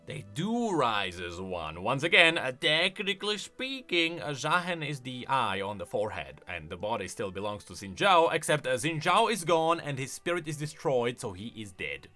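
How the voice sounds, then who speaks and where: Animated, bright-voiced man giving commentary. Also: medium pitch, normal speed, few pauses. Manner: animated, opinionated explainer. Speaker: gaming lore YouTuber. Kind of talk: casual commentary on game voice lines. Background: faint music.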